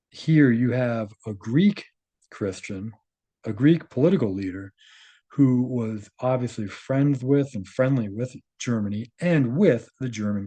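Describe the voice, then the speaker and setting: A man reading a text aloud and commenting on it informally, halting at times, correcting himself and checking in with the listener. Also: medium pitch, warm tone, husky. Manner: halting, self-correcting, often checks with "right?". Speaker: host reading and commenting on a translated article.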